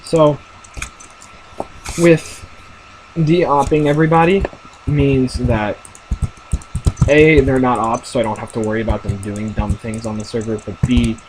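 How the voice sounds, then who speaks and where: A young man casually commenting on his own game as he plays, thinking aloud with lots of fillers and a deep voice. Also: fairly even intonation, unhurried, pauses often, noisy background. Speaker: gamer narrating his play.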